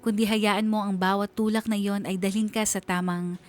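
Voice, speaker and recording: A woman playing a reflective letter sender, narrating a scripted life story earnestly and with measured delivery in a Filipino accent. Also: medium pitch, fairly even intonation, close to an everyday voice. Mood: earnest, hopeful.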